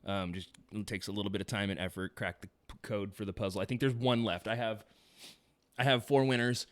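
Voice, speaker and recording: A man's voice: lowish voice, steady tone, brisk, fairly bright tone. A man hosting a casual livestream podcast, loose and joking, laughing often between fillers. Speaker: podcast host.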